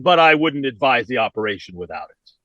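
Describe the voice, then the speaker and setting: A man's voice: medium pitch, very animated, brisk, highs cut off, very noisy, lightly acted. A man playing a bumbling, cheerfully callous doctor with a hesitant stammer in an old-time radio comedy. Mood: breezy, reassuring.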